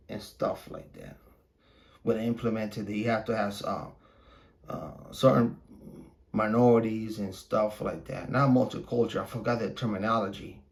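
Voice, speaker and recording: A man who is a native Spanish speaker hosting a podcast, delivering an opinionated casual monologue in a blunt, profane, repetitive and halting manner. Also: on the low side, gravelly. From Puerto Rico. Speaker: podcast host.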